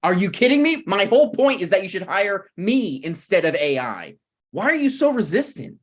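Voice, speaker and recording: A man's voice: high-pitched, sing-song, moderate pace. A man playing a stubborn, snarky broke writer with dry, needling delivery in a comedy table read. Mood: argumentative.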